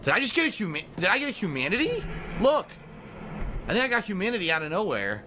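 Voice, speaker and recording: Thirties man streaming a game, unsure and rambling, high lively voice, hiss behind him. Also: fast talker. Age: 30s. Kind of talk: live gameplay commentary.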